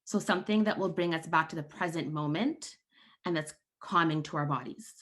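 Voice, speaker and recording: A woman counsellor hosting an online panel, explaining coping tools in a calm, reassuring way over a video call. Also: low-pitched, brisk. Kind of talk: gentle psychoeducation talk.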